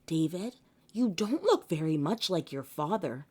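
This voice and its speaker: Woman reader as a jumpy little storybook girl, dramatic and wavering, with swooping pitch. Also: animated, a little slow, mellow, husky, exaggerated voice. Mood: polite, curious. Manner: exclamatory, hesitant, repeating herself. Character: young schoolgirl Julie, skittish, dramatic, easily won over.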